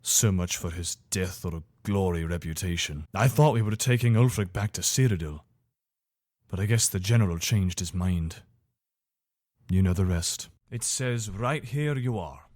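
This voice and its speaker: A man's voice: deep voice, unhurried, darkish tone, no room sound, clean studio sound. A man playing a loyal, weary imperial soldier in a fantasy video game, speaking in an earnest, conversational, soldierly way. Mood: explanatory, sober. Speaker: voice actor for a game character. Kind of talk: video game character dialogue.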